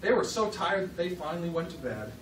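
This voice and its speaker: A woman's voice: on the low side, very animated, fairly quick. A woman telling a story live to children in a dramatic manner, feeding short lines to be repeated. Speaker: storyteller leading a children's puppet show. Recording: roomy, distant mic, noisy room.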